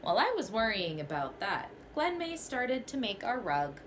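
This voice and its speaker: A woman's voice: fairly high pitch, big pitch swings, moderate pace, fairly bright tone, a little hiss or hum. A young woman teacher reading a children's picture book aloud in a small bedroom, telling it expressively in the first person.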